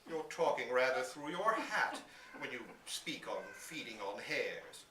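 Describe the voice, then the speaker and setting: A man voicing a flustered, proper gentleman landowner from a storybook, indignant and demanding, trying to sound authoritative. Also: mid-range voice, big pitch swings, unhurried. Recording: roomy, distant live recording with hiss.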